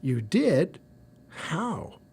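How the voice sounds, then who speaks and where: A man's voice: a little high, very animated, very slow, darkish tone, breathy edge, exaggerated voice. A man playing a worried, flustered professor in an audio drama, speaking hesitantly with stammers. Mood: subdued.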